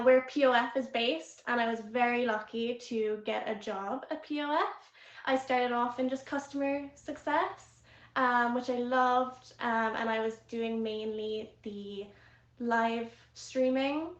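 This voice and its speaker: Friendly young Irish woman, slightly nervous guest, higher voice, roomy lo-fi stream sound. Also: fairly even intonation, a little slow. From Ireland. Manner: friendly, a little nervous, chatty. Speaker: guest, VIP manager. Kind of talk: casual chat on a livestream.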